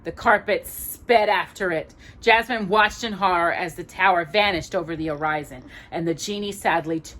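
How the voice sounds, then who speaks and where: A woman reading a children's story aloud, expressively, with dramatic, measured phrasing and pauses. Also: fairly low pitch, a bit bright. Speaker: storytime reader.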